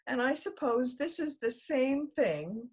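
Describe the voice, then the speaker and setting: A woman's voice: high-pitched, lively intonation, slow, darkish tone, slightly rough, voice pushed up, exaggerated voice. A woman voicing a young male elephant child in a storybook, very polite, earnest and curious. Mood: puzzled.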